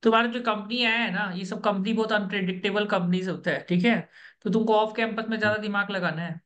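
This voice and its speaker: A man working as an interview coach, running an online coaching session over a video call, brisk and instructive, repeating the key points. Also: high voice, steady tone, fairly bright tone, highs cut off.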